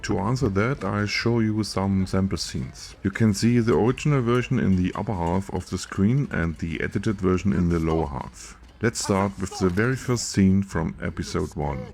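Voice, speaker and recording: A man with a German accent, a film editor reading a scripted video essay in a careful, measured way. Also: sits low, gravelly, darkish tone, soft background music.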